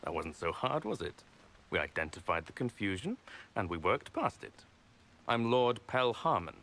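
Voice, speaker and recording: A scheming aristocrat, smug and patronizing. His low voice swings through animated, pompous phrasing, over a faint bed of ambient noise. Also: moderate pace. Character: smug, condescending male nobleman villain, smooth schemer. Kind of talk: video game character acting.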